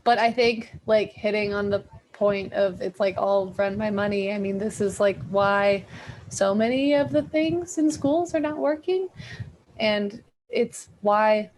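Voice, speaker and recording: A woman's voice: medium pitch, unhurried, a little mellow, slight background noise, low-quality recording. A woman educator speaking reflectively in a group discussion, using many casual fillers.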